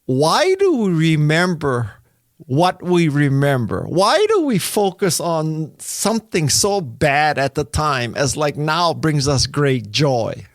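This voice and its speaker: A man's voice: a little high, big pitch swings, slow pace, dry recording, studio quality. Playful older man hosting an interview. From Hawaii. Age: 60s. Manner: playful, laughs often, teasing. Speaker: podcast host. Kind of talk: casual interview chat. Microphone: video call.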